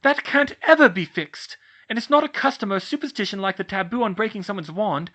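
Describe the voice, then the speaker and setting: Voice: medium pitch, big pitch swings, normal speed, a bit bright, voice pushed up. A narrator voicing a shy, upset older schoolgirl witch who talks in a hesitant, stammering way.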